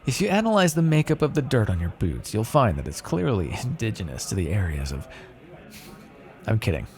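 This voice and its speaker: A man acts out a male vampire for an ASMR roleplay, flirtatious and wry. His voice has a gravelly fry and moves up and down in a very lively way. He talks casually and teasingly, with a sardonic, faintly threatening edge. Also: fairly low pitch, brisk, faint music behind. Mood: playful, teasing.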